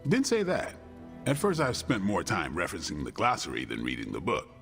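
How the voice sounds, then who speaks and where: An actor playing a thoughtful, terse, plain-spoken superhero in a video game cutscene. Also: male voice, low-pitched, huge pitch swings, brisk, vocal fry, fairly bright tone, faint music behind, exaggerated voice. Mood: relaxed, thoughtful.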